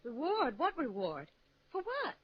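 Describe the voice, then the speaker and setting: Excitable wife for vintage radio crime play; high, swooping delivery, muffled archival sound. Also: female voice, a little slow, exaggerated voice. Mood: startled, demanding. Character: excitable wife baffled by news of a reward. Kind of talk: 1950s radio crime drama acting. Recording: dull old radio recording.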